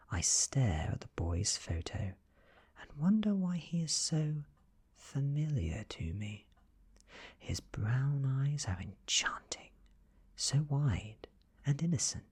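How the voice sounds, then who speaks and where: A British man reading a story in a soft-spoken, hushed and breathy ASMR style, measured and deliberate. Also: fairly low pitch. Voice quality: breathy, whispery. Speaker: ASMR narrator reading an audiobook.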